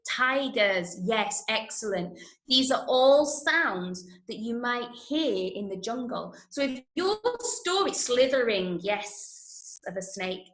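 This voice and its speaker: High-pitched English woman teaching, unhurried. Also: expressive, fairly bright tone, echoey room, poor audio. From Manchester, England. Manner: clear, instructive, emphatic, checking understanding often. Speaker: drama workshop teacher. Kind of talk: online lesson to school pupils. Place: indoors, online video call.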